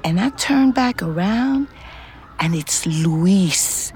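A woman's voice: fairly low pitch, animated, a little mellow. A tired streetwise ex-con lady telling her story in a podcast drama, frank, sweary, kinda wistful. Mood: confiding. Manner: conversational, halting, profane slang. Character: streetwise, weary ex-convict woman, frank and wistful. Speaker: lead actor and narrator in scripted fiction podcast. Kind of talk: intimate first-person audio drama narration.

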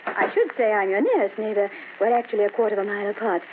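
A woman's voice: medium pitch, animated, rapid-fire, lightly acted. A woman playing a polite, knowing neighbor in an old-time radio drama, composed and reserved, on a tinny recording with some noise. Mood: polite, neighborly.

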